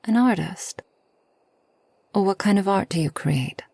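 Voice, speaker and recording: A woman playing a kind, long-lived forest witch in a soft ASMR roleplay, gentle and unhurried, often near a whisper. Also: mid-range voice, animated, vocal fry, no room sound, exaggerated voice. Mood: curious, tender. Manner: gentle, unhurried, soothing, slightly formal speech.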